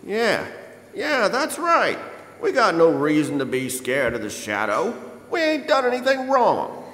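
A man acting a downtrodden, folksy, excitable street beggar in a live radio drama. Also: high-pitched, a little slow, full character voice. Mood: eager. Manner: folksy, ungrammatical talk. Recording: echoey hall with crowd behind.

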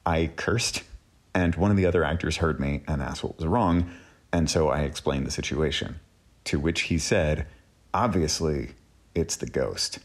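A man with an extremely low, husky voice tells a personal story as the host. His delivery is conversational and casual, like someone recounting his own experience. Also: unhurried.

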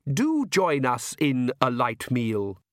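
A man's voice: medium pitch, huge pitch swings, slow, fairly bright tone, no echo, clean studio sound, heavily acted. A man voicing a snobbish, fussy middle-aged vampire countess in a storybook, affected and disdainful. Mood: gracious.

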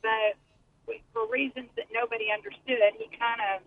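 A woman's voice: on the high side, steady tone, moderate pace, no echo. Texan woman caller on a dull phone line from her car, chatty and rambling. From Texas Panhandle. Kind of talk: casual call-in chat. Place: driving in a car.

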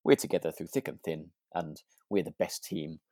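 A man's voice: extremely low pitch, fairly even intonation, moderate pace, warm tone, no room sound, studio quality. A man co-hosting a podcast in casual chat, feeling his way tentatively through points and checking for agreement. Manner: tentative, circles around points, seeks agreement. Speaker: podcast co-host. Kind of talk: casual review chat about a radio drama.

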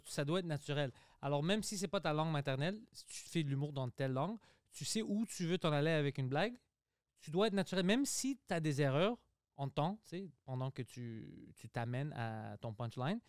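A man's voice: on the high side, animated, brisk, clear, resonant tone, no echo, clean studio sound. A man, earnestly explaining his view in a casual podcast chat. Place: podcast studio.

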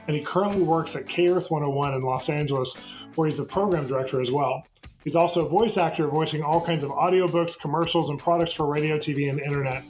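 A man hosting an interview show, reading a scripted intro and then chatting quickly and enthusiastically with guests. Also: fairly high pitch. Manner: fast-talking, enthusiastic. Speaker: podcast host.

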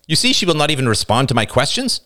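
Guy reading as a preachy condescending man in an audiobook, emphatic, really lively pitch, lecturing away. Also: fast talker. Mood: lecturing, insistent. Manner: didactic, insistent, emphatic. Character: David, calm lecturing man, condescending debater.

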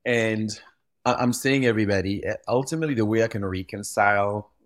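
Guy, really low voice, dry sound, talks animated and stumbles over words a bit. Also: a little fast, dry recording. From Belgium. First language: French. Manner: animated, repeats words while gathering thoughts. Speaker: guest, retail visual expert. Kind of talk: conversational podcast interview.

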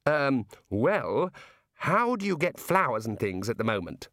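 A gloomy young male wizard, weary and exasperated, who sighs his way through awkward explanations, as a man voices him in a storybook reading. The delivery is lively in pitch and sounds close and dry. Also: unhurried, no room sound.

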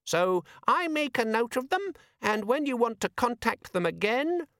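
A little demon living in a gadget, pipes up in a high, chirpy voice. It pitches itself like an over-keen salesman in a comic fantasy audiobook. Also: sing-song, measured pace, fairly bright tone, no room sound, clean studio sound, heavily acted. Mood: nervously eager. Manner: over-eager salesman patter turning uneasy. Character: small chirpy eager demon inside a gadget organizer.

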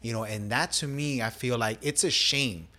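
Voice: mid-range voice, normal speed, sharp tone. A host in a casual chat who agrees readily, uses many short backchannels and drops in Spanish phrases.

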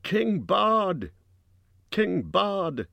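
An excitable townsperson, put on by a male narrator, crying out well above a normal speaking pitch. The voice is aggrieved and clamorous, as one of a crowd in a fantasy audiobook. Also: takes it slowly, gravelly, no room sound. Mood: clamorous, rebellious. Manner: clamorous, calling out.

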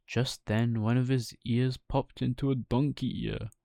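Male bedtime storyteller: slow-paced, soft-edged tone, close dry sound. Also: medium pitch, fairly even intonation, gravelly, no echo.

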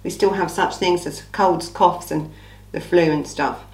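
A woman with an English accent and a slightly croaky, under-the-weather voice, hosting a casual true-crime Q&A in an informal, emphatic way with rhetorical asides. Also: on the low side, steady tone, measured pace, darkish tone. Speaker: true-crime channel host. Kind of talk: casual chatty Q&A monologue. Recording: echoey room.

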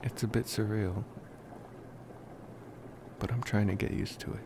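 A man playing a devoted, protective young college vampire in an intimate ASMR roleplay, speaking softly with musing pauses. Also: vocal fry. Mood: tender, nervous. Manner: soft, unhurried, musing with long pauses. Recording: noisy, steady background rumble.

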